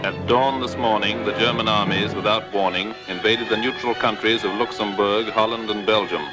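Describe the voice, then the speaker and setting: A man's voice: fairly low pitch, fairly even intonation, moderate pace. A man reading a 1940s news bulletin in a grave, formal manner. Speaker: news announcer. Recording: old film soundtrack, loud music behind.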